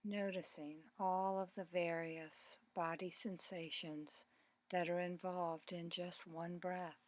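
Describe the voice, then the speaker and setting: Slow, low-pitched woman guiding meditation. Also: no echo. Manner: calm, deliberate, measured phrasing with pauses. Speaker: meditation teacher. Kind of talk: guided meditation, body scan narration.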